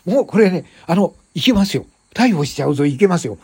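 A man's voice: high-pitched, very animated, fast, dark tone, breathy edge, full character voice. A man playing a tipsy, flustered, cheerful fellow who rambles and stammers over-politely in an improvised comic skit. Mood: excited, cheering. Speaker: show host.

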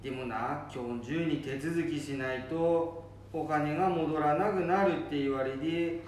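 A man's voice: fairly high pitch, measured pace, darkish tone, close to an everyday voice. A man playing a chatty old grandfather with a Nambu dialect from northern Japan in an amateur radio drama. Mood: chatty, recounting. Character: chatty old grandfather recounting village gossip. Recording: distant echoey room with faint noise.

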